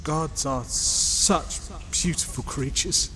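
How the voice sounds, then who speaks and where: Man voicing a high-pitched, broken, unhinged god. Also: slow, pushed and bright, a little hiss or hum. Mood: haunted reverence. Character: broken, tormented male god of wine, unhinged survivor. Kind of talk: dramatic comic book voice acting.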